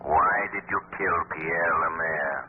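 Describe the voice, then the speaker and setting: A haunting, unseen accuser in a vintage radio thriller. The voice is very low and deliberate, menacing in every word, through a narrow, noisy old recording. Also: male voice. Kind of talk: 1940s radio thriller acting.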